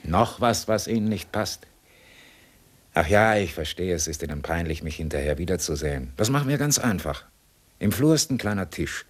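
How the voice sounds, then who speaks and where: A cool criminal on the run in a sixties radio crime play. His deep voice swings widely in pitch and has a rough, husky edge. He is mockingly polite and unhurried as he schemes. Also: expressive. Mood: cool, scheming. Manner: suave, sardonic, unhurried. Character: escaped male criminal, cool, sly, mockingly courteous schemer. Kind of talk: 1960s German radio crime drama acting.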